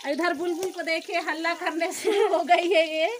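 A high-voiced woman in her thirties talks straight to her viewers while she cooks in a village home at night. Her voice stays on an even, steady pitch, and the phone held at arm's length picks up a faint hiss behind her. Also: moderate pace. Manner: chatty, addresses viewers directly. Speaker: vlogger. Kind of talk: casual narration to camera.

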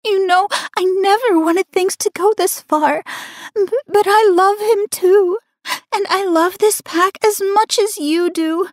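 A tearful, apologetic young woman pleads in a thin, high, whimpering voice, put on by a female audiobook reader. She sounds fragile and desperate to be forgiven. The recording is close and dry, with no room sound. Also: steady tone, slow, a little mellow, no room sound. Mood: tearful pleading.

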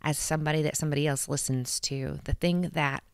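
A woman in her thirties speaks earnestly and warmly to her viewers, encouraging them and often restarting her sentences. Her voice sits low with a gravelly fry. The sound is dry and close, from a handheld mic in her bedroom. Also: fairly even intonation, a little fast, dry recording. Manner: earnest, heartfelt, encouraging, with frequent restarts and laughs. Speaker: vlog host. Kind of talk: casual motivational talk to camera. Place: bedroom at home, indoors. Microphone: handheld microphone held close.

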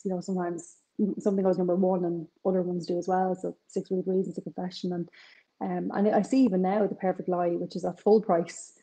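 Chatty Dublin woman, low mellow voice. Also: low-quality recording. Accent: North Side Dublin. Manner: chatty, animated, quick asides. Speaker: crime author guest. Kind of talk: video call interview. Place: home kitchen with shelves. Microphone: video call.

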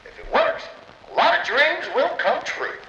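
A man's voice: very high-pitched, big pitch swings, unhurried, sharp tone, highs cut off, full character voice. A man acting a grandiose, manipulative cartoon studio boss in a video game, theatrical and pompous, like a salesman recording memos. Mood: coaxing. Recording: reverberant, echoey recorded-memo sound.

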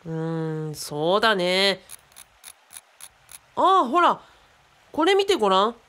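A woman's voice: medium pitch, sing-song, slow pace, bright, forceful tone, heavily acted. A woman playing a friendly, knowledgeable adult companion in a radio drama, explaining casually and thinking aloud. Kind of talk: conversational radio drama acting.

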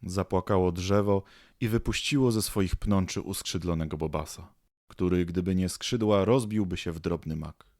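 A man's voice: low-pitched, normal speed, a little mellow, no room sound, clean studio sound. A man narrating an audio drama in a scripted storytelling style.

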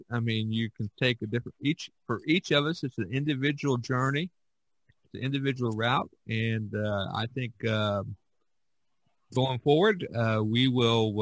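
A man's voice: mid-range voice, fairly bright tone, no room sound. Man hosting a casual podcast, close dry sound. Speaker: podcast host.

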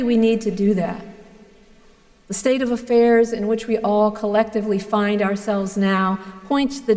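A middle-aged woman priest preaching a sermon from the pulpit, speaking in a measured, earnest way with deliberate pauses. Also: on the high side, darkish tone. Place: large stone church, indoors. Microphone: gooseneck pulpit mic. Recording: long church reverb.

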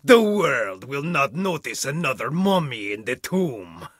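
Stern sardonic archaeologist man in a video game, slow and imperious, husky edge, dry close sound. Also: mid-range voice, sing-song, fairly bright tone, dry recording. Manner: curt, imperious, dry sarcasm. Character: stern, sardonic, menacing male archaeologist at a tomb dig.

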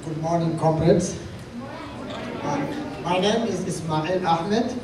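Man from Somalia, measured formal speech, distant echoey hall. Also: fairly high pitch, a crowd in the background. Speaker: community speaker. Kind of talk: prepared speech. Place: large meeting hall, indoors. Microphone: handheld mic, far camera. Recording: echoey hall, recorded from afar.

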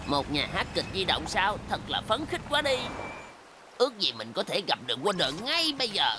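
An actor voicing an excitable, friendly tow truck in a children's cartoon, with eager exclamations. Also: medium pitch, normal speed, background noise, lightly acted. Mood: excited. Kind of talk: animated children's cartoon dubbing. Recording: music behind the voice.

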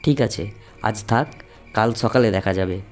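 A man's voice: lowish voice, a little slow, music in the background, close to an everyday voice. A man playing a worried young man who takes the lead among his friends in an audio story.

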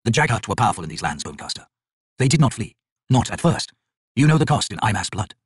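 A man voicing a grave, wry prehistoric clan leader in a storybook, slow and weighty. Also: lowish voice, very animated, husky, no echo, studio quality. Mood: grave, brooding.

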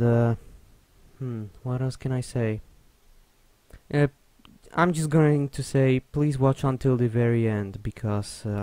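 A man's voice: medium pitch, slight background noise. A man hosting a gaming channel, talking casually and hesitantly with many fillers, exasperated about the game's settings. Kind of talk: casual game intro.

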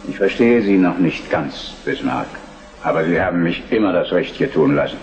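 A man's voice: deep voice, normal speed, natural voice. An actor playing a solemn old Prussian king in a historical German radio drama, grave and formal. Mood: moved, trusting. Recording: dull, echoey recording with music.

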